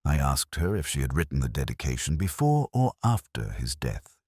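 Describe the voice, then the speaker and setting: A man with an extremely deep voice narrates a literary detective story in the first person. His delivery is measured and reflective, yet the pitch moves expressively. The sound is dry and close, with no room around it. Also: sing-song, a little mellow, breathy edge, no echo.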